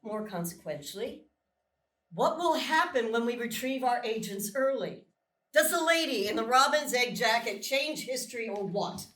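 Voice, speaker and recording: An actress playing a blunt, probing female military colonel at a live screenplay reading, measured and pointed. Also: fairly high pitch, expressive, a bit bright. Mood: pointed, impatient. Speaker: actor in a live table read. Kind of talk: dramatic script reading. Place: small live theatre stage. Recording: echoey theatre, far from the mic.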